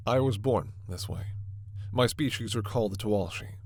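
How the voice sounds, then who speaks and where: A man playing a formal alien scientist in a sci-fi ASMR roleplay, stern and authoritative yet reassuring, with measured, precise diction. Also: lowish voice, creaky, no room sound. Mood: calm, formal. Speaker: voice actor in audio roleplay.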